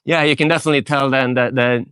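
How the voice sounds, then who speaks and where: A man from Sweden, telling a relaxed, rambling story with restarts as an interview guest. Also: mid-range voice, fast, clear, resonant tone, no room sound, studio quality. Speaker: interviewee, photographer. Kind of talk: casual storytelling.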